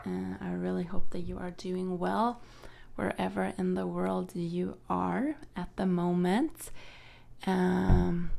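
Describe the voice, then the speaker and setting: A young woman whose first language is Swedish gives a tarot card reading in a soft, hesitant way with many fillers. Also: fairly low pitch, gravelly. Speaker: tarot reader.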